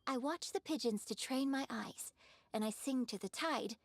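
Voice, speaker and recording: An actress playing a poised, exacting opera performer in a video game, instructing a pupil in a formal, theatrical way. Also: female voice, fairly high pitch, moderate pace, no room sound. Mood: earnest, lecturing. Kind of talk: scripted video game voice acting.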